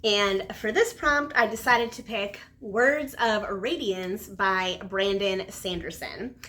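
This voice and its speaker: A woman's voice: medium pitch, takes it slowly, sharp tone. Casual, chatty woman book reviewer. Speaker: book reviewer, video host. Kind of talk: casual book chat to camera.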